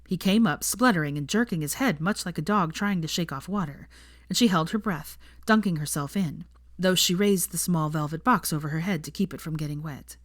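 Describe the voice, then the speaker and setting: A woman narrating a story in a measured, even reading. Her voice sits on the low side and sounds dry and close. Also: no echo. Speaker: fan fiction reader.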